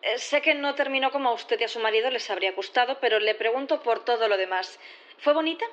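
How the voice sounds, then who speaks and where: A woman's voice: on the high side, fast, fairly bright tone, muffled recording, natural voice. A woman acting as a composed, probing prosecutor in a sci-fi courtroom audio drama, formal, methodical and insistent. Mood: probing, polite. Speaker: actor in audio fiction.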